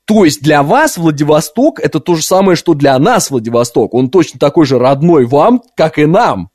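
Male talk-radio host, voice a bit high, conversational and opinionated with rhetorical questions, very dry studio sound. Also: expressive, normal speed, a little mellow, no room sound. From Orenburg region, Russia. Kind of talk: live opinionated radio monologue. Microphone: close studio microphone.

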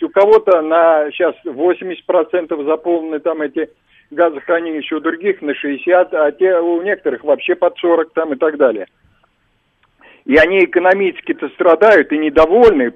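A man's voice: on the high side, no echo. A man calling in to a radio show, making his argument in an emphatic, lecturing way. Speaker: caller. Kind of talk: phone-in commentary. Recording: dry, dull phone line.

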